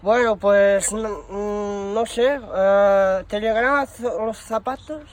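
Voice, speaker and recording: A man's voice: high voice, steady tone, slow pace. A man playing a bumbling shoe shop promotions employee on a prank call, hesitant and rambling, full of fillers and slang. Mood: cheeky, deadpan. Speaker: prank caller. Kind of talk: improvised prank phone call.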